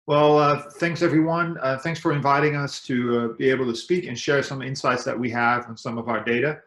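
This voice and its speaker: A man from the Netherlands with a Dutch accent and Dutch as his first language, presenting in a relaxed, friendly way on a webinar. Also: mid-range voice, brisk. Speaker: cybersecurity presenter. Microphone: video call mic. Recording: roomy call audio.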